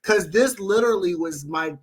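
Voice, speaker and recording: Congested, nasal male co-host with a slightly high voice; animated, jokey storyteller. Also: normal speed, breathy edge. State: congested with a head cold. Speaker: podcast co-host. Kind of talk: casual podcast chat.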